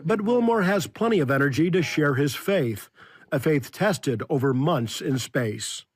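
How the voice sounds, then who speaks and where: A man with a fairly high voice narrates a news package. His delivery is crisp and scripted, in a steady reporting style. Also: unhurried.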